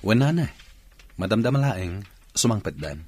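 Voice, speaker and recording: A man acting a polite, good-natured young bachelor with a husky voice in an Ilocano radio drama. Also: on the low side, very animated, normal speed, darkish tone, exaggerated voice. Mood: respectful.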